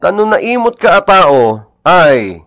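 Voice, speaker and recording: Man acting a preachy husband, animated pitch. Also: slow pace, a little hiss or hum, heavily acted. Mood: scolding. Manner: preachy, reproachful. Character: scolding, blunt husband lecturing his stingy wife. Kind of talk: radio drama acting in Ilocano.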